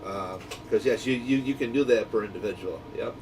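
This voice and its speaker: A man's voice: on the low side, brisk, darkish tone, distant mic, soft background music, rough recording. A man hosting a radio group in a folksy, easygoing way, explaining things in casual instructional chat. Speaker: radio shop owner hosting a walkie-talkie group channel.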